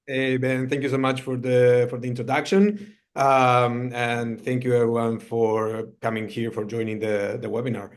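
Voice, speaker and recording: A middle-aged man from Argentina gives a relaxed storytelling talk over a video call. He often pauses on fillers as he goes, and his voice sits at an ordinary middle pitch. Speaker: guest speaker, founder. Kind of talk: storytelling presentation.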